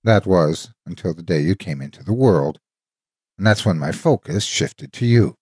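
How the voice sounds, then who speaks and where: A man narrating a dramatic story as a devoted, wistful ghostly guardian, an old soldier reminiscing tenderly in a husky, folksy way. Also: deep voice, lively intonation, normal speed, darkish tone, dry recording, studio quality. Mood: solemn, fond. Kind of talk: dramatic first-person horror storytime narration.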